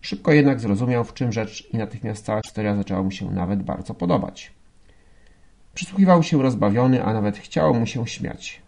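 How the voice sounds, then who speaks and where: Chropawy męski lektor audiobooka. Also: male voice, on the low side, a little fast, gravelly, warm tone. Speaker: audiobook reader. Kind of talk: expressive literary narration.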